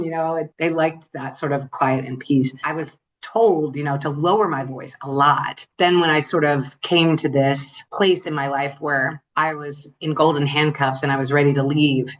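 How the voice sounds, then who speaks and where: Podcast guest, female, middle-aged. Somewhat low pitch, encouraging and emphatic delivery in a conversational interview. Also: fairly bright tone, slight background noise. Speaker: podcast guest, entrepreneur and speaker.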